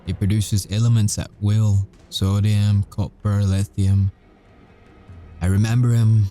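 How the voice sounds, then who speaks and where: Casting: male actor for cocky, haunted werewolf soldier in audio roleplay. Deep, very soft-toned voice, wry measured storytelling. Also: flat delivery, soft background music, lightly acted. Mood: wry, fond. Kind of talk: audio roleplay monologue, ASMR.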